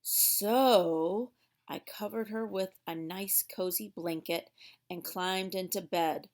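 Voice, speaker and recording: Female children's read-aloud narrator, unhurried and theatrical, mid-range voice, stretches key words for emphasis. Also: mid-range voice, a little mellow. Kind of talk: expressive storybook narration for young children.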